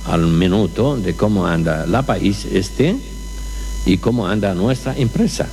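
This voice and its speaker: A man voicing a foreign male executive who speaks slow, careful, broken Spanish. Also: fairly low pitch, lively intonation, a little mellow, slight background noise. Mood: earnest.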